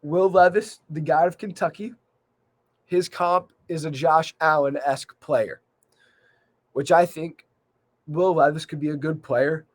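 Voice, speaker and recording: Guy doing solo sports commentary, kinda high voice, bit of a cold, conversational but punches the key points. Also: steady tone, unhurried, long pauses. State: slight cold, losing his voice a little. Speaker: sports podcast host.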